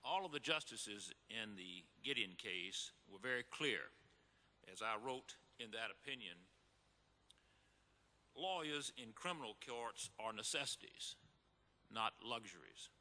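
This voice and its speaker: A man playing an earnest, formal Supreme Court justice in a scripted, deliberate, oratorical reading for a live re-enactment. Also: fairly low pitch, expressive, bright, forceful tone, full character voice. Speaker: reader in a readers theater re-enactment. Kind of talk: scripted dramatic reading to an audience. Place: large hall before an audience. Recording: echoey hall with long reverb.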